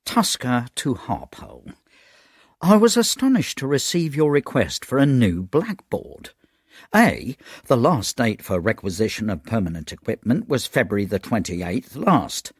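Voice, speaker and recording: A man's voice: medium pitch, very animated, a little slow, breathy edge, dry recording, studio quality, heavily acted. A man playing a pompous, irritable county education official, officious and indignant, in a radio drama. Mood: officious indignation.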